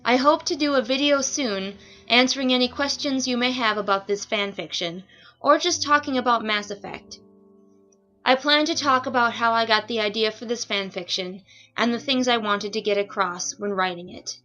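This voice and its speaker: A woman's voice: on the high side, moderate pace, sharp tone. A woman speaking as author and reader, giving a polite, orderly scripted outro to listeners. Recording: dull lo-fi home recording with music behind. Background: music.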